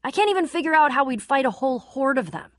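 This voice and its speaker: Tough young adventurer girl from a video game, guarded n snarky, dry close recording, swingy pitch. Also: expressive, fast, no room sound. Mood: wary, surprised. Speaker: game voice actor. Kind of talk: video game character dialogue.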